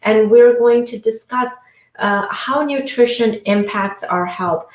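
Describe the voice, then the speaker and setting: A woman's voice: fairly high pitch, takes it slowly. A woman from India, a physician guest on a talk show, explaining things in an upbeat way. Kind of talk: interview answers. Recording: echoey room sound.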